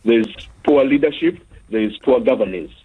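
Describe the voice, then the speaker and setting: A man's voice: medium pitch, lively intonation, fairly quick, breathy edge. A man originally from Uganda calling in to a radio show, making his points earnestly with many fillers. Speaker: caller. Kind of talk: phone-in call. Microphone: telephone. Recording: tinny phone line.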